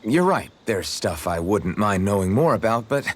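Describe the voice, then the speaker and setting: A man's voice: on the low side, very animated, moderate pace, husky. A man playing a modest, good-natured young swordsman with a casual, unpretentious way of talking, in video game dialogue. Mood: unsure, self-deprecating. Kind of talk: English-language video game character dialogue.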